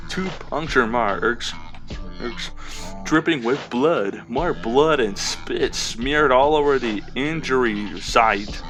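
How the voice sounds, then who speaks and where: A man's voice: mid-range voice, bright, forceful tone. A man narrating fiction aloud in an amateur style, now and then stumbling and repeating a phrase.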